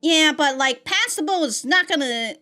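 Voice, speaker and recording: A woman giving casual, slangy reaction commentary as the host of a video, in an animated, informal way. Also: sits high, moderate pace, soft background music.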